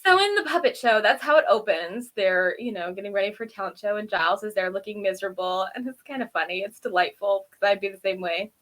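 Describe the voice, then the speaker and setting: Bright, giggly woman chatting. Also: mid-range voice, quick pace, hardly any pauses. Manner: giggly, rambling, animated storytelling. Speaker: review show host. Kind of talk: casual chatty episode recap.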